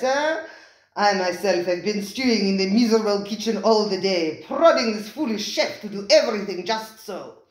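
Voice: medium pitch, voice pushed up, close to an everyday voice. A reader doing a purring, fawning restaurateur. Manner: fawning, drawn-out, repeats himself. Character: effusive, purring restaurant owner, middle-aged man. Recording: roomy echoing room.

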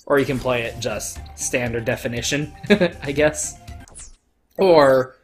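A man's voice: medium pitch, fairly even intonation, takes it slowly. A man hosts a casual let's play in a mid-range voice with music faintly behind him. He is emphatic and opinionated, and he swears freely as he comments. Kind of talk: casual, profane gameplay commentary.

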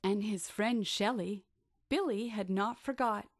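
A woman narrating a rhyming bedtime story for children with expressive, drawn-out delivery. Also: medium pitch, no echo, studio quality.

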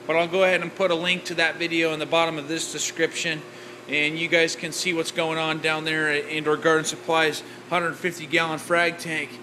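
A man filming a casual walkthrough of his home reef aquarium setup, rambling with lots of filler words as he talks to the camera. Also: a little high. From Oregon. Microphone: handheld camera, off-screen. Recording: camera mic with noise.

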